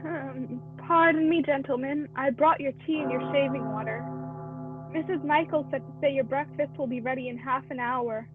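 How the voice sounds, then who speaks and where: A woman's voice: high-pitched, normal speed, close to an everyday voice. A woman playing a timid, sorrowful young maid in a radio play, meek and hesitant. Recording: dull lo-fi audio, music behind.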